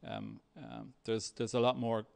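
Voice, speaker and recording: A man presents software at a tech meetup in a mid-pitched voice with noticeable creak. His delivery is halting and hesitant, in the style of a developer walking an audience through a demo. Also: flat delivery. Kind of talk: conference talk with live demo.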